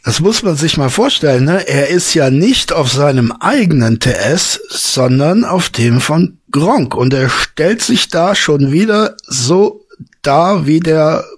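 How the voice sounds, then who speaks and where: A man giving a slow, dry, sarcastic commentary over a voice-chat recording, with many fillers. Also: a little high, animated, husky, no echo.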